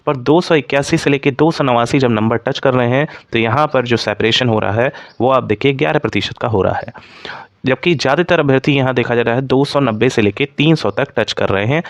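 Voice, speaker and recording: A man with an average-pitched voice presents like an exam coach. He speaks directly to his viewers in an instructive way, often slipping in English terms. The sound is dry, with no room echo. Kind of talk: explanatory exam analysis for students.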